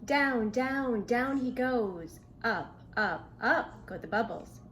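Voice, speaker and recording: Slow, higher-voiced woman reading to children. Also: background noise. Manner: expressive, asks the listener questions. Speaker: children's storybook reader. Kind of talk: read-aloud storytelling for young children.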